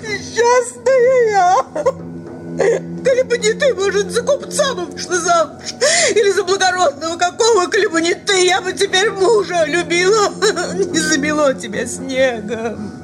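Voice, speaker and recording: A furious young peasant wife in a dramatized story. Her voice is pitched high, shrill and wailing with bitter tears, with music playing behind her. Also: a little slow. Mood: tearful rage. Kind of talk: dramatized literary audio reading.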